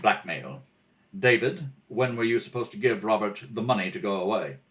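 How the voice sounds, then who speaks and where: A man playing a shrewd, composed detective in a radio mystery, measured, pointed and wry. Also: on the low side, expressive, a bit bright, a little hiss or hum, voice pushed down. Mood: probing, accusing. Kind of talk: old-time radio mystery acting.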